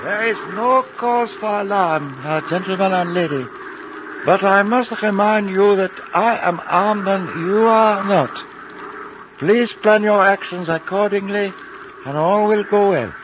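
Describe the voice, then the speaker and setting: A polite, armed Tibetan pilot in a 1950s radio drama. His voice is high and deliberate, every phrase formal and calm. The old broadcast is muffled and clearly noisy. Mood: calm menace.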